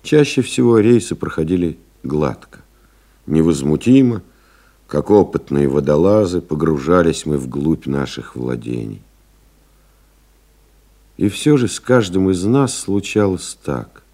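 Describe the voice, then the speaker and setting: An actor playing a reflective male pilot in an audio play, delivering a measured, contemplative literary monologue. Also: low-pitched, lively intonation, warm tone, exaggerated voice. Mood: reminiscing. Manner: measured, contemplative, deliberate phrasing. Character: reflective male French pilot recalling his flights. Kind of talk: dramatic literary monologue, scripted narration.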